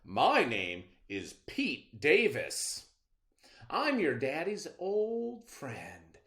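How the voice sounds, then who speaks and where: A man voicing a sly, smooth-talking trickster rabbit with friendly, persuasive patter in a children's storybook. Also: on the high side, wildly swooping pitch, very slow, a bit bright, voice pushed up, full character voice. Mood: friendly, scheming. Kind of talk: children's storybook read-aloud.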